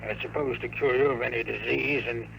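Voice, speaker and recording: An American actor playing a weary, ailing old mayor in a 1950s radio drama, with a hoarse, hushed, confiding voice. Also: male voice, mid-range voice, fairly even intonation, brisk. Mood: grave, confiding. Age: older. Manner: confiding, grave, throat-clearing. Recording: faintly noisy vintage radio recording.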